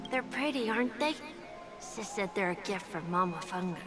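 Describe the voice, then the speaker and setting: A woman voicing a small, innocent young boy, gentle and dreamy, in a video game. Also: mid-range voice, animated, moderate pace, lightly acted. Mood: innocent, wistful. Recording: game music behind the voice.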